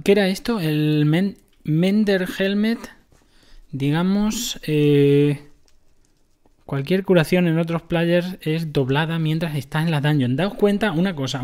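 A man's voice: on the high side, a little mellow. A man giving casual commentary on a game, explaining items to his viewers. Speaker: gaming streamer.

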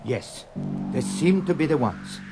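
Grave search-team scientist over loud music. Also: male voice, on the high side, lively intonation. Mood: grave, intrigued. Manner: formal, deliberate.

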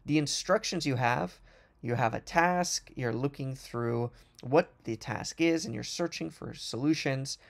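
A man presenting a product demo as a voice-over on a screen recording, explaining in a fluent, fast corporate manner with occasional restarts. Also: mid-range voice, vocal fry.

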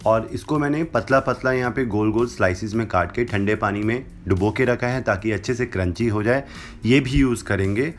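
A man's voice: fairly low pitch, moderate pace, slight background noise. A young man hosting a cooking channel, explaining a recipe step by step and slipping English phrases into his talk. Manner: instructive, mixes English phrases into Hindi. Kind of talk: recipe demonstration voice-over. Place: home kitchen with brick wall.

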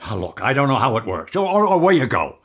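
An actor playing an evasive, placating man with a secret in an audio drama, speaking hesitantly and deliberately. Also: mid-range voice, sing-song, slightly rough. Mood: firm, agitated. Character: evasive, soothing husband hiding an uncanny secret.

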